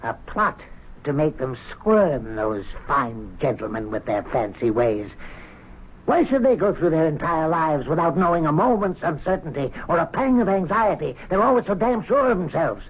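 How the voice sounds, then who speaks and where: Resentful older servant, thin radio sound. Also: male voice, a little high, big pitch swings, normal speed, husky. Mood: bitter, scornful. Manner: grumbling, scornful, gleeful. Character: resentful older club servant, sly schemer against fine gentlemen. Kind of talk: old radio drama acting. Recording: thin, narrow-band radio drama recording.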